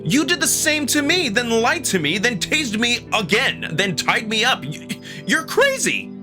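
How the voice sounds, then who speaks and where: A man's voice: very high-pitched, very animated, unhurried, voice pushed up, heavily acted. A man playing an indignant, frightened human who argues in an incredulous, accusing way, in a visual novel drama with music underneath.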